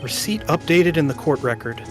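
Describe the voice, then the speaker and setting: A man's voice: on the high side, moderate pace, soft background music. A man narrating a video game playthrough, reading out on-screen text in an amateur way.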